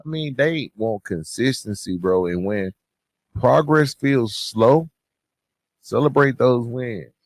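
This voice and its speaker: A man's voice: medium pitch, takes it slowly, dry recording. A man hosting a podcast, speaking in a conversational, motivational way and addressing listeners directly, leaning on words for emphasis. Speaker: podcast host.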